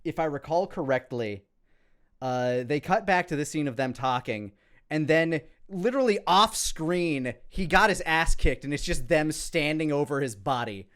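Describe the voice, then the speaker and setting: A man's voice: a little high, lively intonation, moderate pace, a bit bright. A man giving casual creator commentary in a rambling, profane, conversational way. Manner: rambling, profane, quotes lines aloud.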